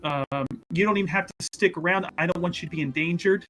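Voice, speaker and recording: A man playing a scheming, protective rogue in an improvised tabletop game, grandiose and halting as he talks. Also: high voice, fairly bright tone, faint music behind. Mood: reassuring. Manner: halting, grandiose, evasive, many repeats. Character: smooth-talking scheming rogue, self-important, protective man. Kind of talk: improvised in-character tabletop roleplay.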